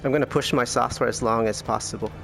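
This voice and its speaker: A man giving low-key, casual commentary on his sim race, thinking aloud, his voice worn from losing it. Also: fairly even intonation, fast, creaky. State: losing his voice, clearing throat. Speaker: sim racing streamer. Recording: music and car engines behind. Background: racing game music and engine sound.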